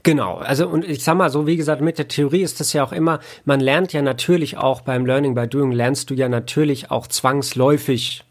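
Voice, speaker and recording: The speaker is a man with noticeable fry in his voice. He explains his trade in loose, colloquial interview talk. He tends to circle back and rephrase as he builds a point. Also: medium pitch, fairly even intonation, a little fast, few pauses. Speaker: interview guest, audio engineer and audiobook editor.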